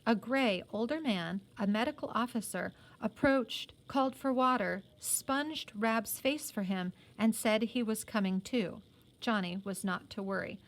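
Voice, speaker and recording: Higher-voiced woman teacher reading aloud. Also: unhurried, rough recording. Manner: expressive, informal, playful in asides. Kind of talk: storybook read-aloud with explanatory asides.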